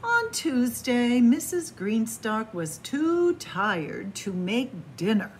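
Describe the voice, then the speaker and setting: A middle-aged woman reading a picture book aloud to children in a library, playful and animated. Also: fairly high pitch, takes it slowly, a little hiss or hum. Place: library, in front of bookshelves. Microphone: camera at close range.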